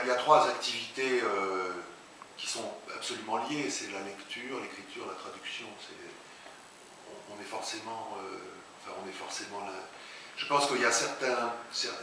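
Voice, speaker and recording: A man, a translator and writer, being interviewed before an audience, speaking haltingly with many restarts. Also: on the low side. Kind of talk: public talk interview. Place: large echoey hall, before an audience. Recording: very echoey hall, far from mic, hiss.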